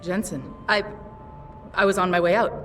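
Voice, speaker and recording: A tough, stubborn female pilot from a video game, voiced by a woman with a lowish, clipped delivery and plenty of swearing. The voice sits back from the mic in a long reverb, with music underneath. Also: fairly even intonation, normal speed, distant mic, heavy background noise, natural voice. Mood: guarded. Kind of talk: video game cutscene dialogue.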